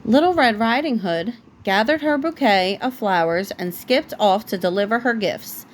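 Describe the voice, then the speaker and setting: A woman reading a children's bedtime story aloud like a parent, with expressive storytelling. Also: medium pitch, moderate pace, a little hiss or hum, low-quality recording.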